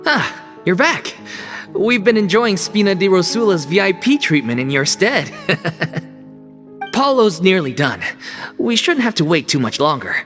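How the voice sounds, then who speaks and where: Loyal orphaned troupe actor. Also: male voice, high voice, very animated, a little slow, long pauses, exaggerated voice. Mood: easygoing. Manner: easygoing, then quietly somber. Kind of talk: game cutscene dialogue. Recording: game mix with faint music.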